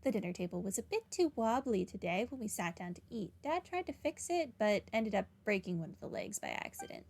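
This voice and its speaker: A woman's voice: mid-range voice, sing-song, brisk, darkish tone. A woman doing a casual game playthrough, commenting and reading text aloud. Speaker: game streamer.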